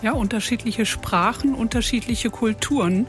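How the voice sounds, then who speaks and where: Lively woman voice coach telling anecdotes. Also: on the high side, normal speed, faint music behind. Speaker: voice coach guest. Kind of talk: live radio interview.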